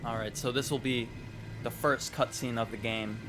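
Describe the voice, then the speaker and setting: A man's voice: medium pitch, steady tone, normal speed, over music. A man giving casual gaming reaction commentary in an informal, chatty, rambling way. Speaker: gaming YouTuber host.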